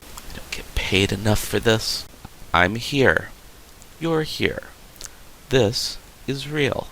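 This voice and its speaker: Gravelly, bright-voiced man, a measured therapist. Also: on the low side, lively intonation, a little hiss or hum, exaggerated voice, rough recording. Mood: measured, weary. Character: measured, probing male therapist doctor in science fiction. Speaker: video game voice actor. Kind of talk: video game dialogue.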